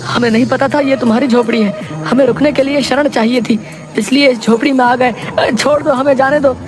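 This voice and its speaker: A man voicing a timid temple priest and husband in a children's story, anxious and pleading in a squeaky voice. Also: steady tone, fairly quick, over music. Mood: frightened, pleading. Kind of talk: acted children's moral story.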